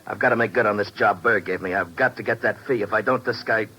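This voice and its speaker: A desperate, bitter American operator down on his luck in an old radio drama. His voice is low yet hard-edged and forceful, full of agitated, slangy pleading. A steady noise lies over the vintage recording. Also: quick pace, low-quality recording. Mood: urgent, confiding. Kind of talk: 1950s radio drama acting.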